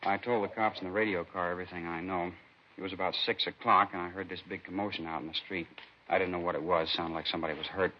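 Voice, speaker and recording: An actor playing a weary, uneasy bar owner, colloquial and plain-spoken, in a 1950s radio police drama. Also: male voice, low voice, steady tone, fast, lightly acted. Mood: uneasy, explaining. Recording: dull, hissy 1950s radio recording.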